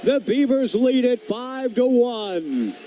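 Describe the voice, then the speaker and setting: A man's voice: extremely high pitch, lively intonation, takes it slowly, warm tone, a little hiss or hum. A man doing live radio play-by-play of a baseball game in clipped, descriptive phrases. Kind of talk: live radio sports commentary.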